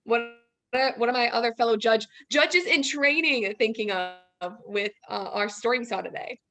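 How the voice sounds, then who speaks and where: A woman's voice: on the high side, normal speed, fairly bright tone. A young woman giving casual feedback as a guest judge on a video call, hesitant and tactful. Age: young adult. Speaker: guest judge, student teacher. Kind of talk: casual spoken critique.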